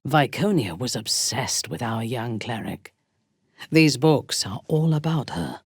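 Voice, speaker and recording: Video game drow war leader: woman's deep register, slow and deliberate, imperious, menacing, expressive swings in tone. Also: dry recording, studio quality, heavily acted. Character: ruthless, imperious female drow warband commander. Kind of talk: dramatic video game voice acting.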